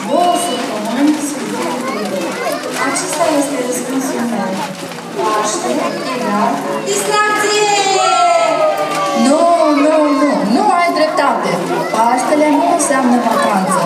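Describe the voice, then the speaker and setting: A high, childlike puppet voice performed by a woman speaks in simple, opinionated lines for a children's show. It is distant and strongly echoing, with loud crowd noise all around. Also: natural voice. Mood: cheerful, insistent. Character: puppet child, playful, opinionated about Easter.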